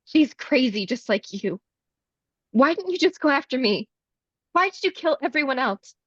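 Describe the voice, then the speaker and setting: A woman's voice: high-pitched, very animated, moderate pace, a bit bright, slightly rough, dry recording, dull audio, full character voice. A woman playing a defiant, frightened young beauty queen in a live screenplay table read, confrontational and urgent. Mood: accusing.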